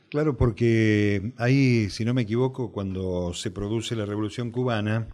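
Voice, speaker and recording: A man's voice: lowish voice, measured pace, a little mellow. A man with an Argentine accent, hosting a program and interviewing a guest in a conversational style.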